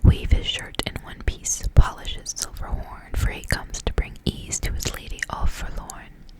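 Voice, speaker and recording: A woman's voice: normal speed, natural voice. Storybook heroine: young, dreamy, stubborn girl in a tower, whispered throughout, read by a woman for ASMR.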